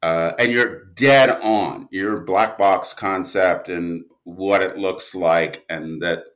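A man speaking casually as an interview guest, telling anecdotes in halting, thoughtful phrases. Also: sits low, a bit bright. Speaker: writer and producer, interview guest.

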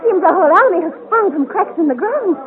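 A woman's voice: very high-pitched, expressive, quick pace, narrow, tinny audio, crowd noise. An actress playing a proud, devoted young noblewoman in a 1950s radio melodrama, speaking earnestly in formal, theatrical period style. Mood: frightened, pleading. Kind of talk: 1950 radio serial romantic melodrama acting. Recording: thin, noisy old 1950s radio recording.

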